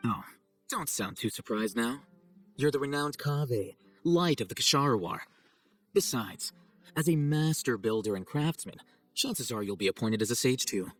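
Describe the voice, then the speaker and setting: A man's voice: medium pitch, normal speed, soft background music, close to an everyday voice. A man as a cool, sardonic young scholar. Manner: composed, deadpan, condescending. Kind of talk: video game voice acting.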